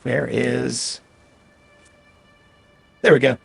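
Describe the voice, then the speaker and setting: A man's voice: mid-range voice, fairly even intonation, extremely slow, creaky, fairly bright tone, breathy edge, faint music behind. A man casually commenting aloud as he plays through a video game on stream. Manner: chatty, reacting aloud as he plays.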